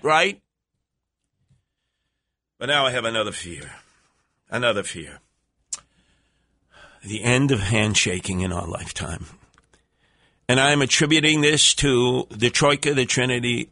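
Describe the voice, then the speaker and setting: A man's voice: pushed and bright, dry recording, clean studio sound. A man hosting a talk radio show, brash and mocking, bantering with callers. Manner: brash, mocking, theatrical swings in pitch. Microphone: studio microphone.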